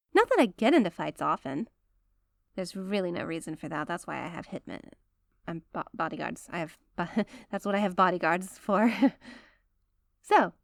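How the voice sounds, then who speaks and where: A woman's voice: a little high, no room sound, studio quality. A woman playing a rich, possessive, doting girlfriend in an intimate ASMR roleplay, sweet-talking one listener in a coaxing way. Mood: playful, possessive.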